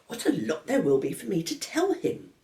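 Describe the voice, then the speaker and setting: A woman voicing an excited, curious young schoolgirl full of questions. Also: medium pitch, normal speed, far from the mic, voice pushed up. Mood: wondering. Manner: eager, wondering questions. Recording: roomy echo.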